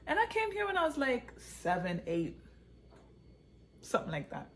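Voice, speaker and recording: A woman's voice: high voice, wildly swooping pitch, normal speed, slight background noise. A woman telling a personal story casually, repeating phrases for emphasis and slipping into Patois. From born in Jamaica, raised in England and the United States. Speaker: vlogger and author telling her own story.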